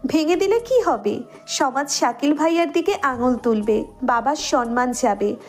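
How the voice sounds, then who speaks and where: Girl acting young lovesick woman in a romance story, high voice, hurt and pleading, music behind. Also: lively intonation, measured pace. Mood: bitter, wounded.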